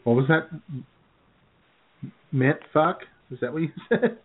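A man's voice: on the high side, animated, slow, breathy edge, narrow, tinny audio. A man co-hosting a comedy radio show in casual banter, recorded with thin, band-limited sound.

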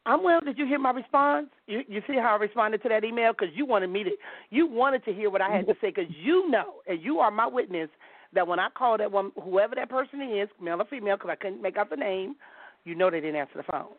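A woman's voice: mid-range voice, quick pace, dry recording. Outspoken woman host on a tinny phone line. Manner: lively, laughs often, blunt and outspoken. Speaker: host of a call-in show. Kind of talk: casual talk show over a phone line. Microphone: phone call.

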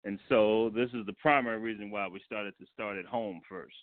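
An American man with a fairly low voice answers interview questions in a measured, polite way, pausing as he goes. The sound is dry and squeezed through a telephone line. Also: fairly even intonation, no echo. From Washington, D.C., United States. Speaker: guest film and stage director. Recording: tinny narrow phone line.

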